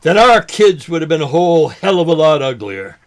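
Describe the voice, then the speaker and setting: A man playing a sentimental, ailing old fisherman in an audio drama, speaking in a halting, folksy way. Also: fairly high pitch, big pitch swings. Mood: teasing. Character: ailing old man, sentimental lifelong fishing buddy, gently joking.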